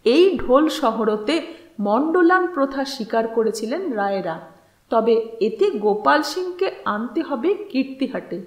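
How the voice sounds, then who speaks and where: A woman reading a literary story as an audiobook narrator, with measured, expressive phrasing and deliberate pauses. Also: on the high side. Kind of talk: scripted dramatic storytelling narration of a literary novel. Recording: roomy, echoing voice recording.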